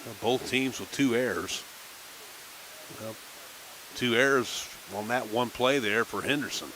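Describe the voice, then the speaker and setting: A man calling a minor league baseball game on local radio, chatting casually between pitches. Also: unhurried, slight background noise, rough recording. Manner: relaxed folksy chatter between pitches, rising excitement on big plays. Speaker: play-by-play announcer.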